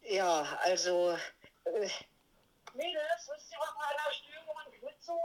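An actress playing a breezy, obtuse, dismissive waterworks clerk in a comic radio play. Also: female voice, high voice, very animated, slow, heavily acted, rough recording. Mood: distracted. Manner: dismissive, officious.

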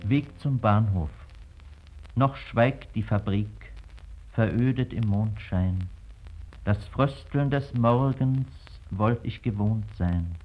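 A man giving a sober, measured poetry recitation on a muffled recording. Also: lowish voice, faint music behind. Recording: dull, muffled archive-like sound.

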